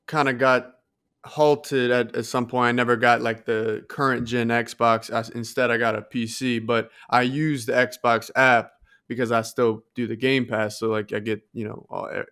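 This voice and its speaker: Smooth, even-toned podcast man. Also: medium pitch. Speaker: podcast co-host. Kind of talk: casual chat.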